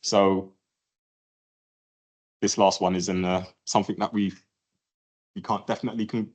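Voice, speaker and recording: A man presenting to a patient audience in an event room, halting with many ums and restarts. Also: low-pitched, level tone, husky. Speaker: clinician speaker at a patient event. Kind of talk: presentation talk with slides.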